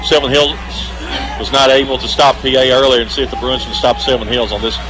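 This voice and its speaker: A male color commentator analyzes football plays live on a radio broadcast. His voice sits at a middle pitch as he explains what just happened. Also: little pitch movement, fast talker, rough recording.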